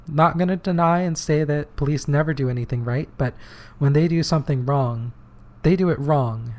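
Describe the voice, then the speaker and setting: A man co-hosting a political podcast, talking earnestly and plainly, his voice slightly hoarse from shouting. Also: mid-range voice. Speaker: podcast co-host. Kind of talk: casual political podcast talk.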